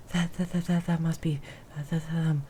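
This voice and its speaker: Woman voicing a timid stammering mouse, low and mellow. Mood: startled, flustered. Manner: nervous stammering, halting and repeating words. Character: tiny timid mouse person, nervously eager to please. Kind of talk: improvised ASMR roleplay. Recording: faint background noise with rain ambience. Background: rain sounds.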